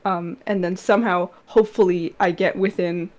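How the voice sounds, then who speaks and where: Slightly hoarse woman on a video call. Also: fairly low pitch, steady tone, moderate pace. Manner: rambling, many fillers, laughs softly. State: slightly hoarse, losing her voice. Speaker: guest comic artist. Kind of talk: casual interview answers on a video call.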